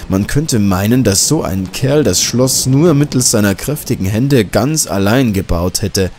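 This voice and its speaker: A man's voice: on the low side, expressive, measured pace, darkish tone, a little hiss or hum. A man reading a scripted horror story as an audiobook narrator.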